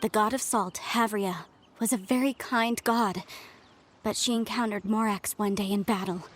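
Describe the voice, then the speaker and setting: A woman's voice: mid-range voice, fairly even intonation, moderate pace, a little hiss or hum, natural voice. An actress playing an earnest young woman researching history in a video game, speaking politely.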